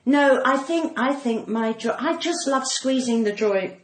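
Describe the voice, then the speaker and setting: A woman's voice: high-pitched, animated, unhurried. A woman in her sixties with a cut-glass English accent, chatting casually and theatrically as a podcast guest, often emphatic and self-mocking. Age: 60s. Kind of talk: casual chat. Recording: roomy echo, faint background noise.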